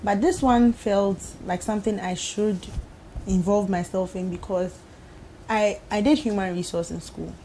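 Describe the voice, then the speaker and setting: Mellow-voiced woman mixologist guest. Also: medium pitch, steady tone, unhurried, slight background noise, low-quality recording. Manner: easygoing, laughs, says "like" often. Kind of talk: casual interview talk. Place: TV studio.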